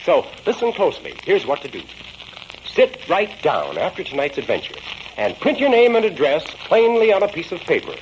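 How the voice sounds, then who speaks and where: A high-voiced American man comes through a slightly noisy vintage radio recording. He pitches to children with hugely animated, swooping delivery, chummy and exclamatory like a 1940s announcer. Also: moderate pace.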